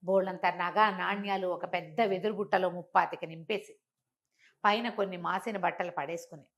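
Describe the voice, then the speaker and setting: A woman's voice: lowish voice, steady tone, normal speed. A woman tells a moral folk tale conversationally, explaining as she goes and repeating phrases for emphasis. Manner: explanatory, uses repetition and acts out dialogue in passing. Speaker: storyteller.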